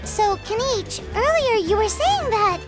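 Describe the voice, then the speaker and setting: A woman voicing a small, childlike, chatty fairy companion in a video game, excitable and nosy, with a squeaky voice. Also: a little slow, loud music behind the voice. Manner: chatty, speaks of self in third person. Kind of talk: video game character acting.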